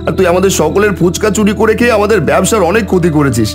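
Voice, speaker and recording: A man acting as a dramatic, expressive storyteller and village father in a horror cartoon. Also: sits high, very fast. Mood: pleading, scolding. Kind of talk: dramatic animated story narration and dialogue. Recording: music behind the voice.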